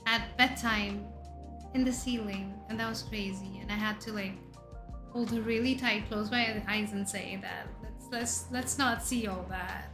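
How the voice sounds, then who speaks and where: Woman, 30s, Indian accent, VTuber host; unhurried, bright and chatty, soft music bed. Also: medium pitch, steady tone. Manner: chatty, jumps between questions to chat, loud exclamations. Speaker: VTuber streamer. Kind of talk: casual chat reading viewer messages. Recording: faint background music under voice.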